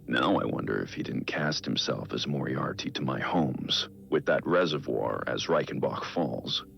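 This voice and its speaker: Brooding middle-aged vigilante detective, extremely low pitch, heavy vocal fry, measured introspective monologue, video game audio log. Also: male voice, fairly bright tone, muffled recording, exaggerated voice. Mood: grave, self-questioning. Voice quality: deep gravelly voice. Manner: measured, grave, introspective delivery. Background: music.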